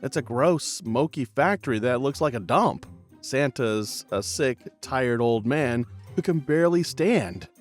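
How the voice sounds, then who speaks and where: Guy narrating cartoon recaps, lively voice, light music under. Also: medium pitch. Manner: playful, chatty. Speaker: channel narrator.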